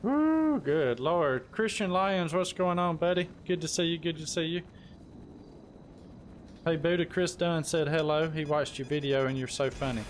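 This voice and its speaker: A man's voice: on the high side, normal speed, a little hiss or hum. A man chatting casually as he hosts a live game stream. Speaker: livestream host and gamer.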